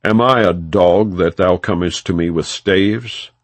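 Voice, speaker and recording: A man's voice: low-pitched, a little slow, dry recording. A man reading the part of a scornful giant enemy warrior in a scripture audiobook, taunting and declamatory.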